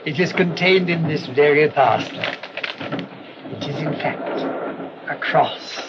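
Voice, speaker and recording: On a muffled, noisy old radio recording, a humble English parish priest. His voice is rather high, and he talks in a flustered, deferential, wordy way, fussing politely like a character from classic radio drama. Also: slow. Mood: earnest, proud.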